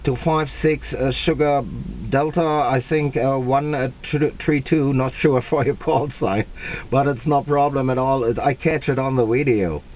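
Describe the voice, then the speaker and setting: German radio operator, tinny narrow sound. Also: male voice, medium pitch, steady tone. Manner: slow, deliberate, repeats himself. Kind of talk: two-way radio contact. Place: indoors at a radio set. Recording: tinny, band-limited camera capture at the radio.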